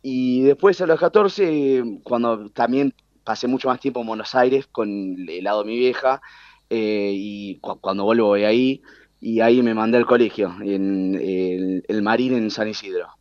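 A man's voice: lowish voice, a little slow, dry recording, lo-fi sound. A young man with an Argentine Spanish accent, raised in the United States, telling his life story as a guest with many fillers and restarts. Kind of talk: casual interview talk.

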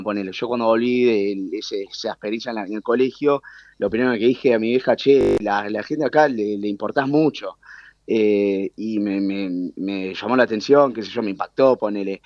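A man's voice: on the low side, no room sound, lo-fi sound. A young man with an Argentine Spanish accent, raised in the United States, telling his life story as a guest with many fillers and restarts. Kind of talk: casual interview talk.

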